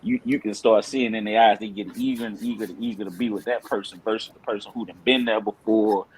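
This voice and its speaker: Man, low, relaxed slang talker in a group chat. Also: fast, a little hiss or hum, poor audio. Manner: laid-back slang, acts out others' lines.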